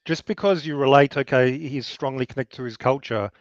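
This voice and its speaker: A man's voice: medium pitch, fairly quick, no echo. Matter-of-fact man on a lo-fi line. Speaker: panelist. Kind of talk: casual debate. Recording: dull lo-fi line.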